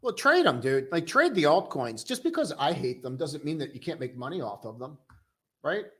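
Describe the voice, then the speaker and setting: A young man casually hosting a live stock-trading stream, chatting loosely and joking with viewers in a relaxed, tired way. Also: on the high side, moderate pace, breathy edge. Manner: loose, joking, full of restarts and "dude". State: tired, low on coffee.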